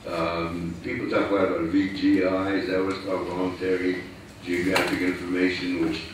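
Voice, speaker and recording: A man's voice: low-pitched, monotone, normal speed, background noise. A man from the audience commenting at a conference, stammering and unsure. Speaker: audience member. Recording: echoey hall, distant mic.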